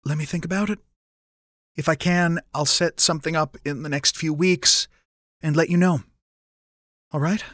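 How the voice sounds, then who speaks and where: A man voicing a guarded, bitter, emotionally wounded adult son in an audiobook, in terse, halting phrases. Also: fairly high pitch, a bit bright, dry recording, studio quality. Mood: choked, tentative. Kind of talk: audiobook character dialogue.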